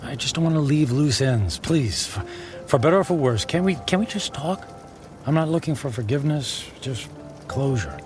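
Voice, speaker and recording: Actor as halting, remorseful ex-husband over music. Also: male voice, mid-range voice, animated, close to an everyday voice. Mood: pleading, hesitant. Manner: halting, stammering, apologetic. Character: remorseful, hesitant middle-aged ex-husband, humbled and pleading. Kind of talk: radio drama acting. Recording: music bed and rain behind.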